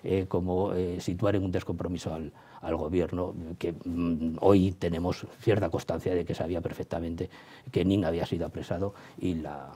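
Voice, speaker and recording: A man from explaining history as an interviewed professor, halting as he searches for words and checks with tag questions. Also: deep voice, steady tone, dark tone, breathy edge.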